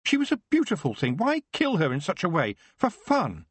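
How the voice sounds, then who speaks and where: A man voicing an earnest young nobleman's son, gentle but defiant, speaking formally. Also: high-pitched, wildly swooping pitch, normal speed, husky, no room sound, pitched above the performer's own voice, exaggerated voice. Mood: pleading.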